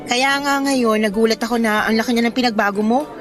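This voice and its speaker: A woman's voice: on the high side, fairly even intonation, a little fast, lightly acted. A Filipino woman playing a shy, overlooked teenage schoolgirl and loyal best friend in a radio drama, hesitant and understated. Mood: teasing, wistful. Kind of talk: radio drama acting in casual speech. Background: background music.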